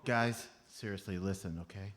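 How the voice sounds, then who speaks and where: A man's voice: sits low, normal speed, fairly bright tone. A man playing a tiny, solemn elf foreman with a long-winded official manner in a live comedy radio play. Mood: grave. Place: live on stage at a comedy club.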